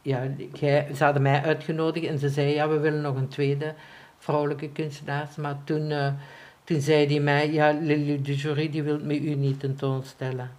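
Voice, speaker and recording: A Flemish woman artist chats anecdotally in an interview. She speaks haltingly and circles back over her words. Her manner is self-deprecating and informal. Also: deep voice, flat delivery, darkish tone. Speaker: visual artist being interviewed. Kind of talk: casual anecdotal chat.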